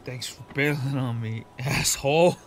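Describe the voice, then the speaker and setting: A man's voice: medium pitch, a little slow, lightly acted. An actor playing a drunk, bitter, grieving man muttering to himself in an audio drama. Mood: bitter, despairing. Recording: faint background noise.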